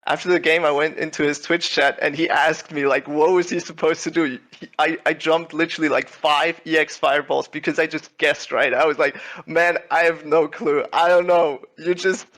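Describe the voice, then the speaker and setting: A man's voice: a little high, steady tone, normal speed, hardly any pauses, fairly bright tone. A young man from Switzerland, a tournament winner speaking as a guest in a casual interview. He talks in a laid-back, humble way, full of fillers and restarts. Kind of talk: casual video-call interview.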